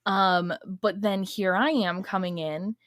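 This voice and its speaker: A woman guesting on a podcast in a relaxed, conversational style. She speaks slowly at a medium pitch, halting to choose words, with a close, dry sound. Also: dry recording. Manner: halting, pausing mid-sentence to pick words. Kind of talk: casual chat.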